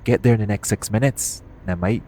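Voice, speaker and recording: Low-voiced, cocky hitman played by a man. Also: brisk, warm tone, a little hiss or hum, lightly acted. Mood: teasing. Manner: cocky, swearing, teasing. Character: cocky, teasing, foul-mouthed male hitman who loves betting. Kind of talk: audio roleplay acting addressed to the listener.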